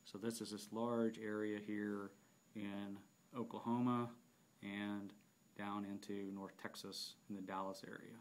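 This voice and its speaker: A man narrating an unscripted software demonstration, explaining step by step with halting phrasing and repeated words. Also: lowish voice, little pitch movement, slight background noise. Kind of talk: unscripted app tutorial narration.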